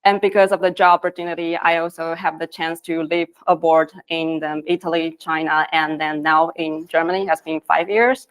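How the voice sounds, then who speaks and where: A woman's voice: sits low, level tone, moderate pace, few pauses, smooth, low-quality recording. A woman from Taiwan, earnestly presenting at a conference in phrasing. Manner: earnest, with non-native phrasing. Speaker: conference speaker, design lead. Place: conference stage.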